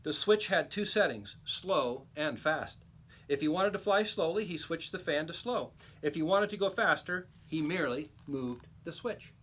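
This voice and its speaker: A man reading a children's storybook aloud like a teacher, with expressive, emphatic storytelling. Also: on the high side, normal speed, breathy edge.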